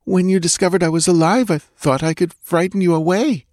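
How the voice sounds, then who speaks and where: A man's voice: high voice, steady tone, brisk, a little mellow, no echo, studio quality, pitched above the performer's own voice, natural voice. A man voicing a terrified young dancing girl in an audiobook, with a whimpering, pleading, breath-catching delivery. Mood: fearful confessing. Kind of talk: dramatised audiobook character voice.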